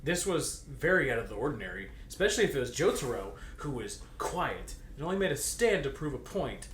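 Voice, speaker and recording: A man's voice: mid-range voice, very animated, brisk, a bit bright. A man casually reading fan fiction aloud and bantering, with a teasing, crude and giggly manner. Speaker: guest co-reader. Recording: roomy echo, faint background noise.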